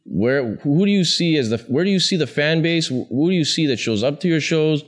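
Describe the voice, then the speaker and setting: A man hosting a casual interview, chatty and relaxed, often chiming in with agreement. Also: on the high side, brisk. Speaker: podcast host.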